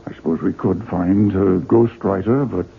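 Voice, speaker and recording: An actor playing an eager, avuncular book publisher in a 1970s radio drama, emphatic and coaxing. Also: male voice, low voice, steady tone, moderate pace, creaky. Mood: doubtful, persuading. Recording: tinny vintage radio, faint noise.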